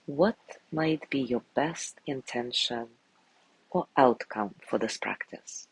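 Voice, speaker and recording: A man guiding a sleep meditation in a gentle, soothing way, softly spoken and unhurried, with long pauses between phrases. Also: medium pitch, slightly rough, poor audio. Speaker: meditation guide.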